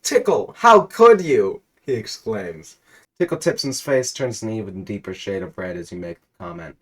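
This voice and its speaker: A man's voice: low voice, lively intonation, normal speed, a little mellow. A man telling a comedic story in the second person with dramatic narration.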